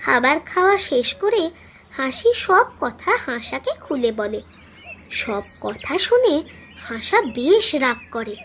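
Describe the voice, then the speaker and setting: A woman's voice: high voice, big pitch swings, slow pace, fairly bright tone, slight background noise. A woman narrating a children's cartoon story in a scripted storytelling style.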